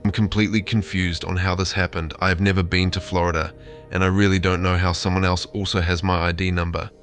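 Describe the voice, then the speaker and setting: A man voicing a placating AI therapist chatbot, reading messages in a formal, measured, reassuring way. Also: deep voice, flat delivery, a bit bright, soft background music. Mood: placating, insistent. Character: AI therapist chatbot, placating, insistently claiming humanity.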